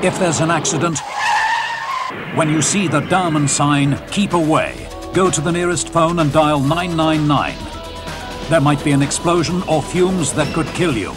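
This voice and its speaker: A man's voice: fairly high pitch, expressive, measured pace, music in the background. A man reading a scripted public safety announcement as narrator.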